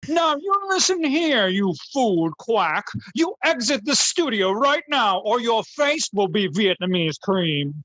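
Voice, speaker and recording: A woman playing a pompous, easily outraged male talk-show host in a comedy radio sketch, declaiming in archaic, highbrow phrases. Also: very animated, takes it slowly, hardly any pauses, a bit bright, no echo, full character voice. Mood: furious.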